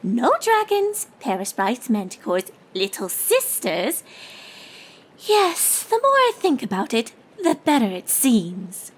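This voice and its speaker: A woman's voice: on the high side, sing-song, slow pace, husky, full character voice. A woman voicing a refined, dramatic female cartoon unicorn pony in a genteel, teasing, theatrical manner. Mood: protective, teasing. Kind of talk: character voice in fan fiction reading.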